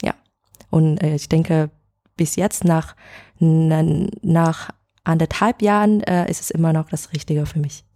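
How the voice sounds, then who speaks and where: A woman's voice: low voice, fairly even intonation, unhurried, mellow, no echo, studio quality. A woman in her twenties from Vietnam, speaking German, introducing herself hesitantly with many fillers. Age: 20s. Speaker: guest, editor.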